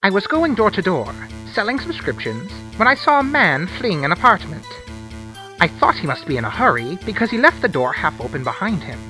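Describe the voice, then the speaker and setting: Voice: mid-range voice, big pitch swings, fairly quick, sharp tone, faint music behind, rough recording. A performer with a woman's-sounding voice playing a shifty, wordy salesman giving theatrical testimony in a game fan dub. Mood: dramatic testimony.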